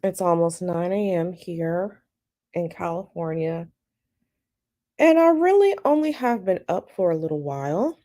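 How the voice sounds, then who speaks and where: A woman with a slightly raspy morning voice, chatting casually with viewers on a live stream from home and greeting them by name. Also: lowish voice, animated, unhurried, dark tone. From United States. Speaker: tarot channel host. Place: at home, bedroom.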